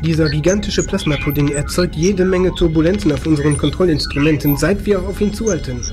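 A man's voice: on the high side, fairly quick, music in the background, lightly acted. A man playing a wry, matter-of-fact spaceship pilot in a science fiction audio drama, with a dry reporting manner. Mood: dry, uneasy.

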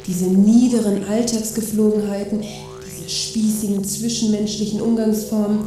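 A woman's voice: medium pitch, little pitch movement, normal speed, lightly acted. Woman playing a pushy saleswoman, very echoey. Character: pushy, scornful female seller of escapist gadgets. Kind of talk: satirical radio play dialogue. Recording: very echoey, distant, music behind.

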